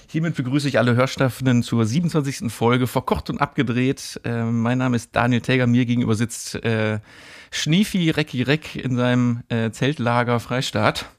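A man's voice: medium pitch, moderate pace. A man co-hosting a podcast in casual chat, joking and often tagging his sentences with a questioning "ne". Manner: chatty, joking, often ends with "ne?". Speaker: podcast co-host.

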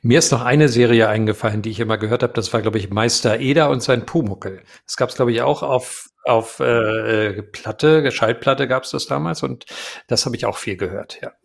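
Gravelly-voiced man rambling with fillers. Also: mid-range voice, moderate pace. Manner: rambling, hesitant, many fillers. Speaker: guest, radio play voice actor. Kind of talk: casual interview chat.

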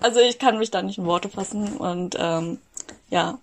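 A woman's voice: mid-range voice, normal speed. A young woman from Berlin, a podcast guest, who laughs a lot and talks hesitantly with many fillers. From Berlin, Germany. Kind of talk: casual podcast interview.

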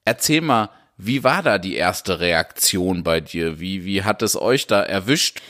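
Low-voiced man hosting a podcast. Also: lively intonation, a little slow, a bit bright. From Karlsruhe, Germany. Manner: friendly, asks long strings of questions. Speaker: podcast host. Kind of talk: casual interview hosting.

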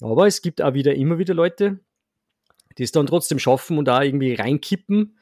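A man's voice: a little high, animated, a little fast, darkish tone, no echo, clean studio sound. A man, a guest on a casual podcast, talking hesitantly with fillers and self-deprecating humor. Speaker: podcast guest, amateur cyclist.

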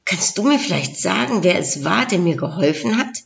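A woman reading as Love, gentle pleading storybook spirit. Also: on the low side, animated, normal speed, vocal fry, highs cut off. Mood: wondering.

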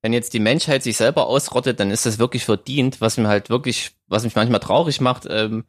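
A man's voice: medium pitch, fairly quick, no room sound. A man hosting a casual podcast, reading listener questions with teasing, dry humor.

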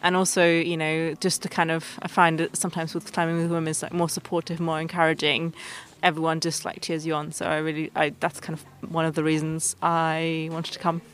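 Low, even-voiced woman from Germany. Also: brisk, slight background noise. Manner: chatty, uses many fillers like "like". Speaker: festival participant. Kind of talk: casual interview answer.

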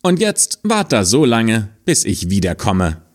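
A man's voice: lowish voice, wildly swooping pitch, a little slow. A man doing a bossy fiddler. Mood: commanding. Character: cunning male wandering fiddler, bossy. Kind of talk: storybook voice acting.

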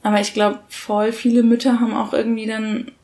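A woman's voice: fairly high pitch, little pitch movement, brisk, darkish tone. A woman in her thirties chatting casually and colloquially as a podcast co-host. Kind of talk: casual conversation about parenting.

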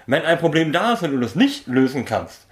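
Casual podcast guest: a blunt, slangy man from Berlin with an animated, swinging delivery. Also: on the high side, fairly quick.

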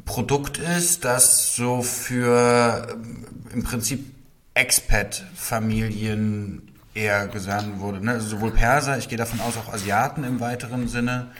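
A man's voice: mid-range voice, little pitch movement, unhurried, a bit bright, slight background noise. A man hosting a podcast, greeting and questioning his guest in a friendly way with some fillers. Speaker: podcast host. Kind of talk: podcast interview.

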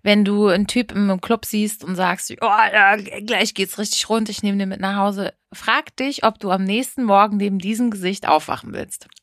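A woman's voice: medium pitch, fairly even intonation, normal speed, hardly any pauses, no room sound, studio quality. A young woman co-hosting a podcast, chatting casually in a frank, teasing way. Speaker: podcast co-host. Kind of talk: casual chat.

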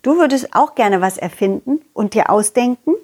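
A woman voicing a kind, loyal, confident dog in a children's story, musing gently and simply. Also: on the high side, lively intonation, normal speed, breathy edge. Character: confident, loyal, kind dog hero reassuring his friend.

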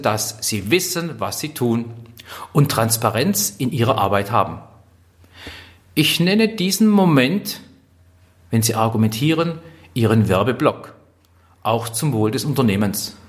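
Emphatic man with a Swabian accent. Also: mid-range voice. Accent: Swabian German. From Swabia, southwest Germany. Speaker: trainer, speaker. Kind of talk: instructional talk on workplace skills.

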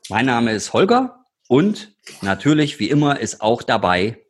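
A man hosts a relaxed beer-tasting talk show, welcoming his guest and asking questions. His voice is a little husky. His pitch moves around a lot as he talks. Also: unhurried. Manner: lively, welcoming interviewer. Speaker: podcast host. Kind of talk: casual chat, beer tasting.

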